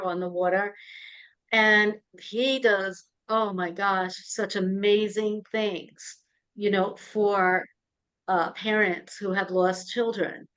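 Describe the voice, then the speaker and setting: A middle-aged woman interviews in a conversational way as a podcast co-host. She takes her time over her words, and her voice is smooth and clear, sitting at an average pitch. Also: a bit bright.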